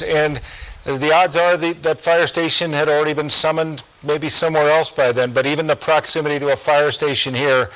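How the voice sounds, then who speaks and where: A man anchoring live news, ad-libbing in a measured, reflective and somber way. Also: on the high side, fairly bright tone, rough recording.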